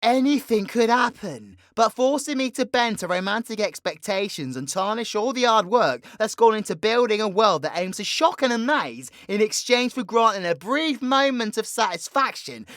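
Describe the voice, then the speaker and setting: A man's voice: high voice, a bit bright, clear, resonant tone, dry recording. A British man plays a cheeky, brash supervillain in a comedy audio drama. He is slangy and foul-mouthed, with a mock-indignant swagger. The sound is dry, with no room around the voice. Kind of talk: audio roleplay comedy acting.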